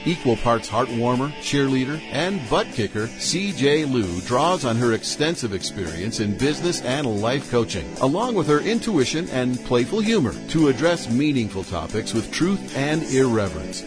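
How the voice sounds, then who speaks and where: A man reading a scripted promo voice-over as the show's announcer, with a lively, upbeat delivery. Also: mid-range voice, normal speed, few pauses, over music. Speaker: show announcer.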